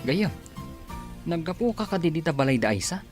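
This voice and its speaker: A reproachful male confidant acted by a man with a slightly high voice. He questions and chides in an Ilocano accent, in radio drama style. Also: sing-song, brisk, faint music behind. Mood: questioning.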